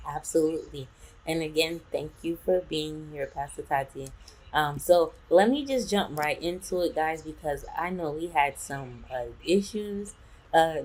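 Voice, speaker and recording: A woman's voice: sits low, a little mellow. A woman hosting a business webinar, introducing her guest with chatty enthusiasm. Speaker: webinar host.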